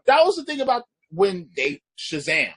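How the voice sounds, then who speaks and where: A man's voice: high-pitched, wildly swooping pitch, moderate pace, husky. A middle-aged man reviewing a movie in casual chat, laid-back, laughing often and swearing freely.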